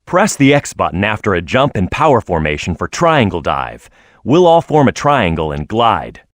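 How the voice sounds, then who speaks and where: A man's voice: on the low side, big pitch swings, normal speed, no echo. A man voicing a tough, hot-headed echidna brawler in a video game, proud and blunt in manner. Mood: proud, instructive.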